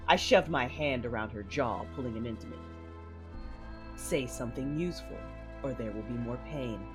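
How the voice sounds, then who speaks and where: An actress playing a cold, commanding Sith mother who narrates her own story in a measured, menacing, controlled manner. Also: extremely low pitch. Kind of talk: first-person audio drama narration with dialogue. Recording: music under the voice.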